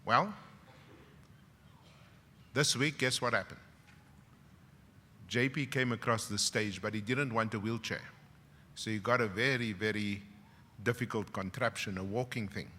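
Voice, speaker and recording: A middle-aged man with a South African English accent giving a graduation speech as a university professor, a dramatic storyteller who swings from loud, wry punchlines to hushed, emotional moments. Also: fairly low pitch, unhurried, pauses often. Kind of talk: ceremonial speech with personal stories. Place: large graduation hall. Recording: long hall reverb from a ceremony PA.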